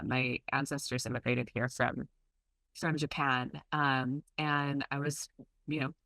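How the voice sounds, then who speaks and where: A woman's voice: very deep voice, a bit bright, no room sound, low-quality recording. A woman from Hawaii giving an earnest conference talk over a video call from outdoors at home, with frequent ums. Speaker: community relations presenter.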